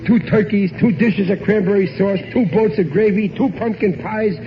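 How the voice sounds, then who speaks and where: A man's voice: high-pitched, moderate pace, faint music behind, close to an everyday voice. An American actor playing a sentimental, blustering, dim working-class family man with ungrammatical speech in a radio sitcom. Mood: enthusiastic. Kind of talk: 1940s radio sitcom acting. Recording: noisy, muffled vintage radio broadcast.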